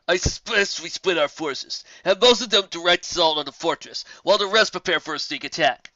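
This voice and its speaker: A man's voice: high-pitched, a little fast, bright, forceful tone, dry recording, dull audio, pitched above the performer's own voice. A man voicing a practical, questioning griffin officer with polite military phrasing.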